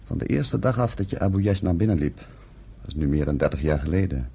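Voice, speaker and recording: Very low male voice, husky and dark, solemn village headman, earnest, deliberate, radio drama. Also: expressive, heavily acted. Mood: firm, persuading.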